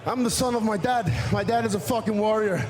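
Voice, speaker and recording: A man's voice: high-pitched, a little fast, darkish tone. A man giving an impassioned post-fight interview as a fighter, boastful, sweary and emphatic. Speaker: fighter being interviewed after a win. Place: MMA cage in a crowded arena. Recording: crowd noise in arena.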